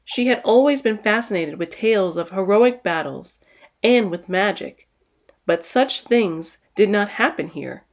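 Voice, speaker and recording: Lady reading a kids book out loud, storytime host, expressive narration. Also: mid-range voice, measured pace. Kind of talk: expressive children's read-aloud narration.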